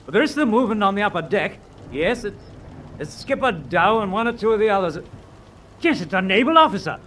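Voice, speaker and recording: An actor playing an excitable British prisoner in a ship's hold in a radio drama, colloquial and agitated. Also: male voice, high-pitched, fairly quick. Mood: watchful. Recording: noisy audio with ambience behind.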